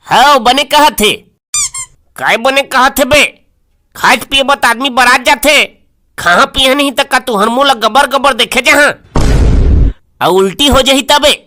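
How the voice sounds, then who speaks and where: Someone voicing a bright, high-pitched Chhattisgarhi cartoon villager. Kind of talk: comic cartoon dialogue.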